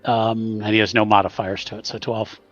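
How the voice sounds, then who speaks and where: A man's voice: on the low side, flat delivery, brisk, a bit bright, highs cut off, soft background music. A man casually narrating his character's actions as a player in a tabletop game.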